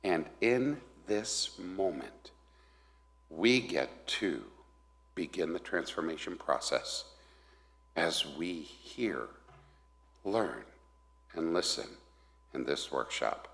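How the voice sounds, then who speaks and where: Deep-voiced man slowly leading a prayer. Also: expressive, gravelly, a bit bright. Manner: says he has a big voice. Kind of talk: slow guided prayer. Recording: echoey hall.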